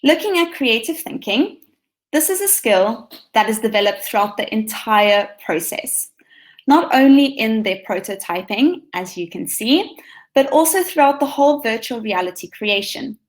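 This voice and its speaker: Brief: South African woman, teacher presenting over a video call, bright higher voice, eager, with frequent ums. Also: animated, normal speed. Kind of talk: conference presentation.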